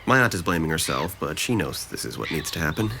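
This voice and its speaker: Male actor for depressed young in a breakup drama: very low, bright-edged voice, halting, self-blaming. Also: big pitch swings, creaky, slight background noise, exaggerated voice. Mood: apologetic, subdued. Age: young adult. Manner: hesitant, halting, subdued. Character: depressed, self-blaming young man ending a summer romance. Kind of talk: naturalistic dramatic dialogue.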